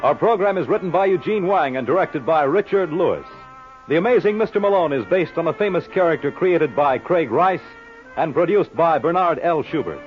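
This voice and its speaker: Animated male old-time radio announcer. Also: on the high side. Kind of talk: 1950s radio announcing, scripted credits. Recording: muffled old radio recording, faint music.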